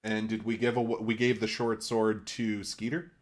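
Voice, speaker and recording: A man chats as a player in a tabletop game. His voice is on the low side. He talks loosely and colloquially, with plenty of swearing. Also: little pitch movement, normal speed. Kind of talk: casual game chat.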